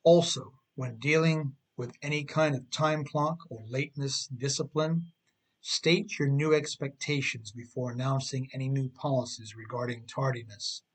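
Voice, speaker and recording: A man's voice: mid-range voice, breathy edge, poor audio. A middle-aged man reading his own humorous advice book as scripted narration, with an emphatic, tongue-in-cheek delivery.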